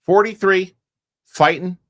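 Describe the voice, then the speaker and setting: A man hosting a casual podcast chat, animated and rambling, often restarting his sentences. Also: high voice, takes it slowly, dry recording. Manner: animated, rambling, self-correcting with repeated starts. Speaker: show host.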